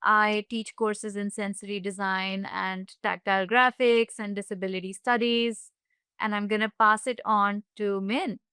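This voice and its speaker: A woman from India speaking on a panel in a friendly, measured way. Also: medium pitch, steady tone, sharp tone, clean tone, dry recording, studio quality. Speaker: assistant professor, panelist. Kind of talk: panel talk.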